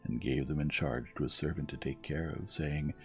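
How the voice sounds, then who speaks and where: A man reading a storybook tale as gentle, measured bedtime narration, soft and soothing. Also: extremely low pitch, fairly even intonation. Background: music.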